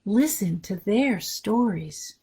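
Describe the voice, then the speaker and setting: A woman with a medium-pitched voice hosts a story time for young children. She moves between reading aloud and casual chat, keeping her phrasing simple and encouraging. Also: expressive, takes it slowly, mellow, slightly rough.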